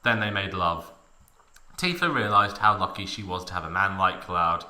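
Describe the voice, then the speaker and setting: A man's voice: sits low, moderate pace, bright, forceful tone. A man giving a mock dramatic reading of fan fiction, with theatrical, exaggerated emphasis.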